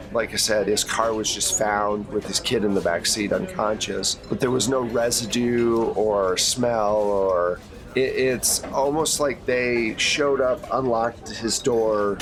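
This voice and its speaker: A man's voice: mid-range voice, steady tone, slow pace, a crowd in the background. Man game master with people around. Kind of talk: improvised tabletop game narration and casual hosting.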